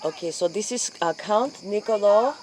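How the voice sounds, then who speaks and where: A woman playing a solemn late-night interviewer, speaking formally and haltingly, often repeating herself. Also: medium pitch, expressive, a little mellow, slight background noise, close to an everyday voice, poor audio. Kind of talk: improvised spoof interview in character.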